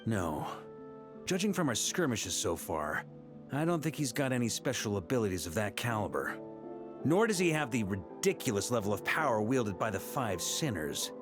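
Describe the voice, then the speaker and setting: An actor playing a seasoned, confident knight commander in a video game, explaining tactics in a measured, pragmatic way. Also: male voice, fairly low pitch, big pitch swings. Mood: serious, measured. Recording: music behind.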